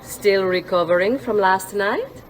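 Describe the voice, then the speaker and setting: A woman's voice: mid-range voice, a little slow, a little hiss or hum, close to an everyday voice, poor audio. An actress playing a poised, wry middle-aged Italian mother in a video game, with an Italian accent. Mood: wry, brisk. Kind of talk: video game cutscene acting.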